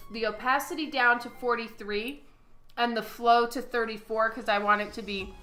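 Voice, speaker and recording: A woman with a head cold talks slowly over quiet background music as she paints. Her voice is hoarse and half gone, yet it sits fairly high and bright. She keeps thanking her viewers and breaks into frequent laughs. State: hoarse from a cold, voice partly lost. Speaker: digital comic artist streaming. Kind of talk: casual livestream commentary while painting.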